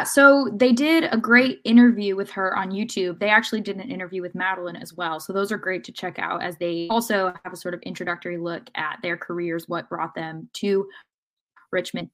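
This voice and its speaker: Lower-voiced woman co-hosting a podcast. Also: fairly even intonation, normal speed, a bit bright. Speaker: podcast co-host and interviewer. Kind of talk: casual sports discussion.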